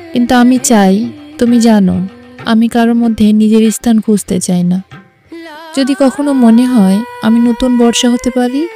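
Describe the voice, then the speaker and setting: A woman playing a sincere, gently proud young woman in an audio story, earnest in manner. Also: fairly high pitch. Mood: tearful. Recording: music behind the voice.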